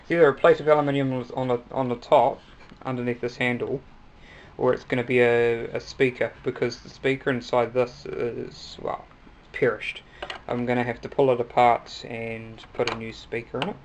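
A young man with a New Zealand accent, giving a casual, hesitant hobbyist demonstration with frequent pauses. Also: medium pitch, level tone. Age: young adult. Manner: hesitant, halting delivery with pauses. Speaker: hobbyist video maker. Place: small cluttered room at home, indoors. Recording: noisy amateur home recording.

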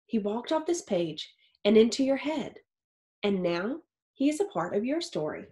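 A woman in her thirties reads a children's picture book in a slightly high voice. She phrases deliberately, as a teacher would for young listeners. The small room gives her voice a clear echo. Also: big pitch swings, mellow. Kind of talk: expressive children's read-aloud. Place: small home office or study with wooden shelves. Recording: roomy, echoey indoor sound.

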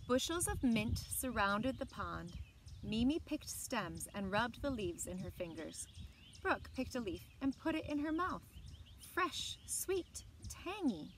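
Female story time reader, thirties. Slightly high voice, expressive, deliberate read-aloud, recorded outside with birds and background noise. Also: rough recording. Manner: measured, deliberate phrasing with dramatic emphasis. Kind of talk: expressive children's picture book read-aloud. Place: outdoors on a lawn by trees. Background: outdoor ambience, birds.

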